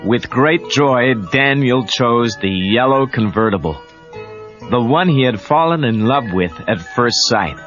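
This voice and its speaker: A man's voice: medium pitch, lively intonation, unhurried, fairly bright tone. A man narrating a read-along audio story in a dramatic scripted style. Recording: muffled cassette, music and crowd behind.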